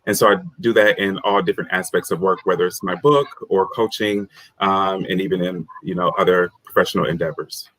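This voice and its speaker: Low-voiced American man, dry close sound. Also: steady tone, a little fast, fairly bright tone, no room sound, low-quality recording. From United States. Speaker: guest author, career coach. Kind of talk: interview answers.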